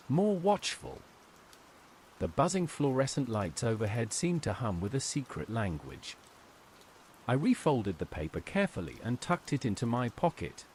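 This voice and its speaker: A man's voice: mid-range voice, a little mellow, a little hiss or hum. A man reading a scripted first-person horror story as its narrator.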